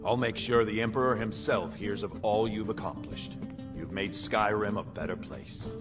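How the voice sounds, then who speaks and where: A man's voice: lowish voice, normal speed, lightly acted. An actor playing a formal, earnest male soldier in a fantasy video game, heard from a distance. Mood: earnest praise. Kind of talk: video game dialogue acting. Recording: distant, with game music behind.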